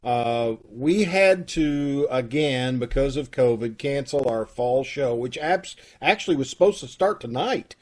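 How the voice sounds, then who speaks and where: A man hosts a live video call from his home. His voice sits at an ordinary middle pitch, and he talks in a loose, folksy way with plenty of fillers. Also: lively intonation, unhurried, few pauses, a little mellow. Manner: folksy and rambling, with many fillers. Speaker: host of a community theater troupe.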